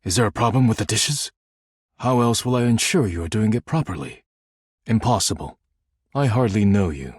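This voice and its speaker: An actor voicing a stern, proud, duty-bound living dishware caretaker in a video game, with a formal, clipped, solemn delivery and a husky voice. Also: male voice, lowish voice, animated, moderate pace, dry recording, clean studio sound. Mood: strict, reserved. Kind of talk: video game character voice lines.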